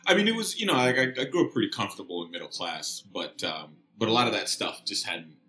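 Middle-aged guy from kenya, low voice, kinda forceful tone, rambly story, laughs a lot. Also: big pitch swings, quick pace, reverberant. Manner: rambling, laughs often between phrases. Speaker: podcast guest. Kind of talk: casual storytelling chat.

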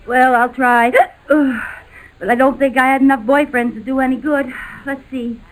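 A woman's voice: high-pitched, steady tone, moderate pace, lightly acted. A woman playing a playful, wheedling wife in a 1940s radio comedy, with a theatrical, coaxing delivery. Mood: chirpy. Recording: noisy 1940s radio recording.

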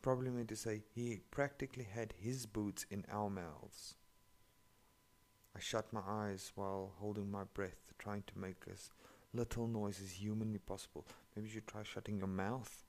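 Gravelly low-voiced man. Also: level tone, normal speed, darkish tone. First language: not English. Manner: sarcastic, profane running commentary. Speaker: story reader. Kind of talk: reading online stories aloud with commentary.

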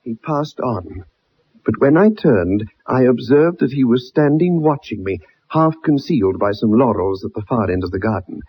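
Earnest young army chap telling his tale, bit raspy, dramatic, muffled old time radio. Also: medium pitch, lively intonation, normal speed. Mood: earnest recounting. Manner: earnest, formal, dramatic storytelling. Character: earnest, dogged young ex-soldier telling a detective his story. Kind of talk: 1960s radio drama acting.

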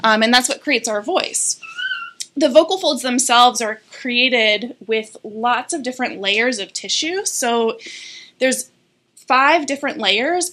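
A woman speech-language pathologist explaining voice and hormones in an interview, steady and informative with frequent ums. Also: fairly high pitch, a little hiss or hum. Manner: clear, informative, many ums.

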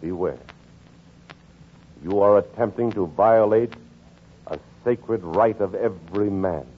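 A solemn rabbi pleads with a crowd in a husky voice, very slowly and emphatically. He declaims in 1940s radio style on a tinny old recording. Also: fairly low pitch. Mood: impassioned, stern. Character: solemn rabbi pleading for tolerance at a heated meeting. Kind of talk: 1940s radio acting, oratory.

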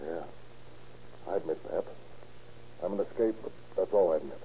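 An actor playing a sullen, defiant escaped convict with a rough, stubborn way of talking in an old radio crime drama. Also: male voice, deep voice, moderate pace, dark tone. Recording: dull 1930s radio recording.

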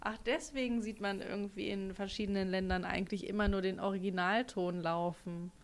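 A woman in casual conversation, outspoken and expressive, with frequent fillers. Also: mid-range voice, a little fast. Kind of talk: casual chat.

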